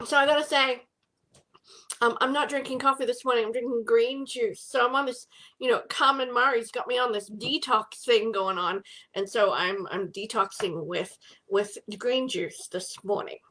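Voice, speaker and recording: A woman's voice: a little high, moderate pace, bright, forceful tone, low-quality recording. A middle-aged woman hosting a casual live business chat from home, bubbly and greeting viewers by name.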